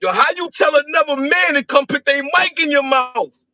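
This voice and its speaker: High, bright-voiced man taunting loudly. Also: normal speed, dry recording, studio quality. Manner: taunting, laughing, repeats calls of "hey, yo". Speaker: podcast guest. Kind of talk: loud mocking banter on a live stream.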